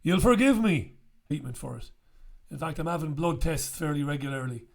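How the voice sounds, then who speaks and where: A man in his forties, croaky after an illness, chatting bluntly and casually to camera as a show host in a small room with a studio mic. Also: a little high, lively intonation, moderate pace. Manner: chatty, blunt, swears freely. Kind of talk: casual direct-to-camera chat. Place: small bright room indoors. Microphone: studio mic on stand in front.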